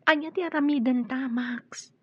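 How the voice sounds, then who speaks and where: A wary young woman in a radio drama. She speaks high and husky, with a fearful temper. The sound is dry and muffled. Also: normal speed, dry recording. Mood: hesitant.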